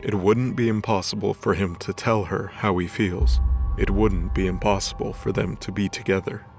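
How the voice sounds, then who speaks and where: A man's voice: lowish voice, steady tone, moderate pace, vocal fry, faint music behind. A man narrating a scripted dramatic story as its narrator.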